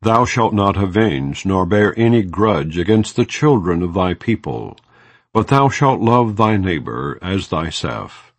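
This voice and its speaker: A man with a low voice reads scripture as an audiobook narrator. His delivery is slow, solemn and formal, with a lively rise and fall in pitch across the measured phrases. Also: no echo. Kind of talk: scripted scripture reading, non-dramatized.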